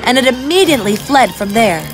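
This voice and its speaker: A woman's voice: high-pitched, lively intonation, fast, music in the background. A woman reading a scripted fairy tale as its narrator.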